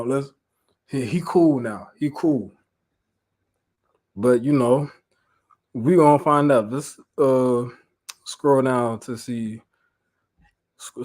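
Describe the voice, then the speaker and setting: American man preaching in casual, slangy style. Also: mid-range voice. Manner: informal, animated retelling, repeats phrases for emphasis. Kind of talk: Bible lesson mixing reading aloud with casual slangy paraphrase.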